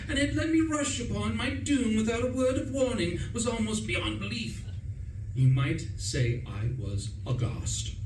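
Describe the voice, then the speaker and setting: Roomy, higher-pitched man narrating comic radio drama. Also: darkish tone. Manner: wry, wordy storytelling. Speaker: radio play performer, first-person narrator. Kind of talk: theatrical comic scripted narration. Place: live performance in a roomy space. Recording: roomy, distant, noisy live stage recording.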